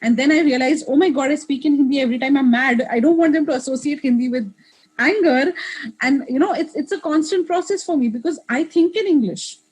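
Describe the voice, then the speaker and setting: A woman's voice: high voice, a little fast, a little mellow, low-quality recording. A woman in her thirties, a guest in an interview, talking in a reflective way with many restarts and asides. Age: 30s. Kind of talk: casual interview conversation.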